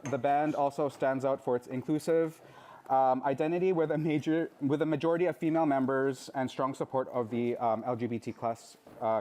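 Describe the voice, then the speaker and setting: A man's voice: on the high side, darkish tone, slight background noise. A man hosting a live event on stage, introducing guests and asking questions with frequent ums and uhs. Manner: hesitant, many ums and uhs. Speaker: event host.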